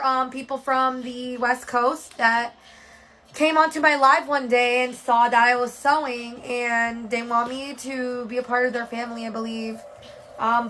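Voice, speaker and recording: A woman livestreaming from her room at home, talking to viewers in a loose, rambling way with plenty of fillers. Her voice sits high and sounds bright and crisp. She comes across as casual and chatty, the way someone sounds hosting her own stream. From New York. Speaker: livestream host. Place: home room with yellow lighting.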